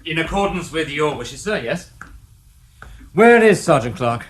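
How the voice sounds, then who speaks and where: A world-weary police inspector with a dry, mocking edge,. His voice is fairly high and moves a lot, dipping low for his asides. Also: sing-song, a little slow. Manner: wry, weary sarcasm. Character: dry, sardonic male detective inspector, middle-aged. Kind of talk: radio drama acting.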